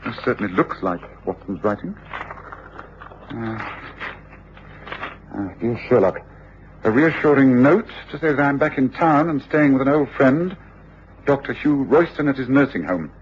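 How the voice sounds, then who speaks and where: An actor playing a shrewd, commanding gentleman detective with an English accent, precise and authoritative, in old radio drama. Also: medium pitch, animated, moderate pace, long pauses, lightly acted. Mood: grave, urgent. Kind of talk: 1950s-60s radio drama acting. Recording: dull, noisy old radio drama recording.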